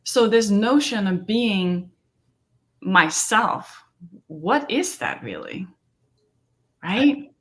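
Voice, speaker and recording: A woman's voice: mid-range voice, sing-song, very slow, long pauses, fairly bright tone. A middle-aged woman from Denmark, a therapist and coach speaking earnestly in an interview and often asking "right?". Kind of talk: conversational interview.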